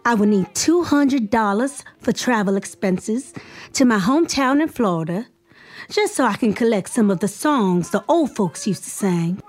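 A woman's voice: medium pitch, animated, moderate pace, a little mellow, close to an everyday voice. An actress playing an eager, spirited young woman writer in an audio drama, polite and persuasive as she seeks patronage. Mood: earnest, persuasive.

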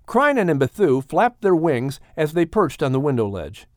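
Lively male audiobook storyteller, close and dry. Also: a little high, normal speed, no echo. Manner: expressive, theatrical delivery of a children's adventure. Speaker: audiobook narrator. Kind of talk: dramatic scripted storytelling.

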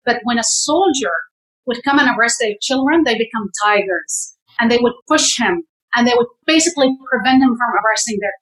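A woman's voice: on the high side, a bit bright. A woman from Jerusalem, a guest giving fervent, urging advice in an interview, often repeating herself for emphasis. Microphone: camera. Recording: echoey room.

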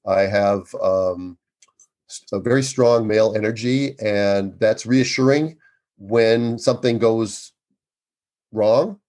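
A man in his sixties, an obstetrician speaking as a guest in an interview, with a loud, emphatic and earnest way of talking. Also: lowish voice, takes it slowly. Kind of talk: conversational interview.

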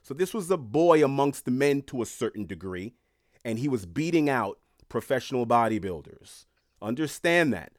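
A man from Haiti hosting a podcast, telling stories with animated rises and dips in emphasis. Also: medium pitch, dry recording, studio quality. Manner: animated storyteller, rising and falling in emphasis. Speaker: podcast host. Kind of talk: casual podcast interview.

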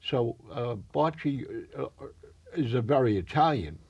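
A man with a very husky, rough voice hosts an interview, asking his guest easy questions. The sound is dull and muffled, like a lo-fi recording. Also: medium pitch, lively intonation, a little slow, darkish tone. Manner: conversational, prompting with questions. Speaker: podcast host.

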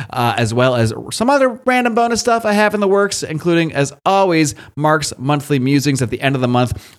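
A man hosting a podcast, talking in a chatty, informal, conversational way as he addresses listeners and guests. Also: medium pitch. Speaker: podcast host. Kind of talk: conversational podcast intro and interview.

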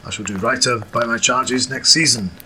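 An actor playing a genteel, proud yet modest Scottish football coach in a period audio drama, speaking with formal old-fashioned courtesy. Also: male voice, medium pitch, normal speed, background noise, close to an everyday voice. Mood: earnest, modest.

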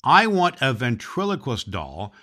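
A man's voice: medium pitch, huge pitch swings, unhurried, bright, forceful tone, full character voice. A man playing a jolly, kindly old Santa Claus, reading and hosting for children with emphatic affection. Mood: reading a letter. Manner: emphatic, affectionate, addressing boys and girls. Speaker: storybook reader and show host.

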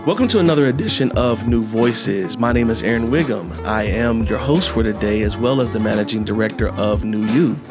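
A man's voice: on the low side, brisk, few pauses, music in the background. A man hosting an interview show, encouraging and chatty, often repeating himself with fillers. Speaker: host. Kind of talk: casual interview chat.

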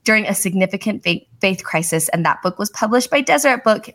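Chatty woman host, bubbly, mid pitch, casual interview vibe. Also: animated, quick pace, fairly bright tone. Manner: bubbly, chatty, laughs easily. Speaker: podcast host.

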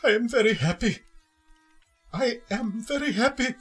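A man playing a gentle, grieving Victorian father in a radio play, speaking tenderly and earnestly in a husky voice. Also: very high voice, expressive, unhurried, faint music behind, heavily acted. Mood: moved, happy. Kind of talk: sentimental radio play acting.

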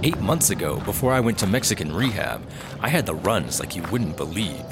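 A man's voice: low-pitched, very animated, brisk, loud music behind the voice. A man playing a clueless, cheeky fellow in a comedy audio drama, with a glib, oblivious delivery. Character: clueless, cheeky man, flippant lovelorn rehab patient.

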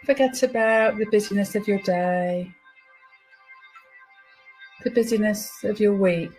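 A woman guides listeners through a relaxation meditation. She gives soothing instructions in a mid-range voice, leaving pauses between them. Music plays behind her. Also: mid-range voice, steady tone, a little mellow, low-quality recording.

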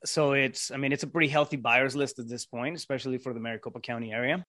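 A man's voice: medium pitch, steady tone, fast, bright, forceful tone. Mexican-accented man explaining business steps. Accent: Mexican Spanish. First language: Spanish. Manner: explanatory, lays out steps, frequent tag questions. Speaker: podcast guest, real estate investor. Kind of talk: casual interview talk.

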